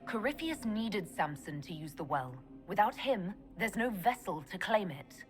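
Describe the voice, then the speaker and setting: A woman's voice: mid-range voice, very animated, unhurried, soft background music. A woman voicing a commanding elf mage in a fantasy game, dry, pointed and sardonic. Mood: firm. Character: sharp, commanding female elf mage leader, dry and stern. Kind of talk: dramatic fantasy game acting.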